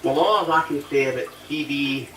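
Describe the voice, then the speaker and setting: A man's voice: mid-range voice, normal speed, fairly bright tone. A British man in casual, blunt, sweary banter as a user of a chat app. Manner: blunt, foul-mouthed. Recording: echoey, distant playback with faint noise.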